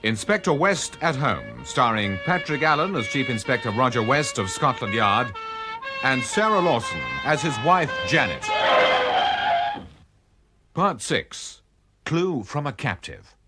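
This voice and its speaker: A man's voice: mid-range voice, very animated, measured pace. Male announcer, scripted drama credits, varied intonation, music behind. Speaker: radio announcer.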